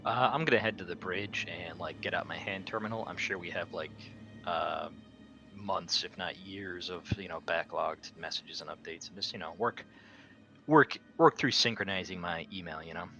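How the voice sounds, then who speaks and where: A man's voice: low-pitched, normal speed, pushed and bright, muffled recording, faint music behind. A man playing a tabletop roleplaying game, chatting casually as he plays. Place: online voice call. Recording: clear noise on online call mic.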